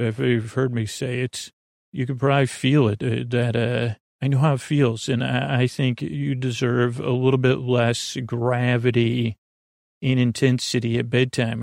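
A man hosting a sleep podcast, with a gravelly voice and a halting, meandering delivery that stammers, repeats words and trails off. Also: medium pitch, no room sound, clean studio sound. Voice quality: gravelly, creaky. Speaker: host of a bedtime sleep podcast. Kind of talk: rambling bedtime monologue.

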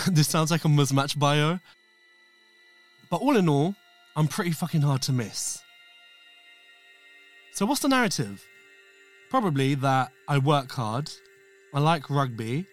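A man's voice: fairly high pitch, lively intonation, a little slow, pauses often, faint music behind. Camp, sassy man hosting. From England, east London. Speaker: podcast host. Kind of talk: scripted personal narration.

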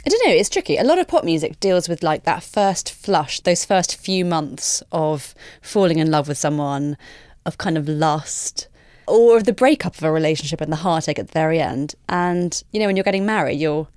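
A woman co-hosting a podcast, chatty and quick to laugh. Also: low voice, animated, a little mellow, no echo, clean studio sound. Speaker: podcast co-host. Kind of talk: podcast discussion. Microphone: studio mic.

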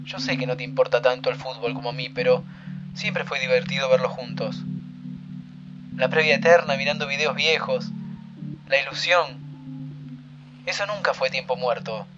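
An actor with a Rioplatense accent playing a warm-hearted young time traveler who is easily flustered and turns tender. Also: male voice, medium pitch, moderate pace, long pauses, highs cut off, music in the background, lightly acted. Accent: Rioplatense Spanish. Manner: flustered pleading, then tender and reflective. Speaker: lead character.

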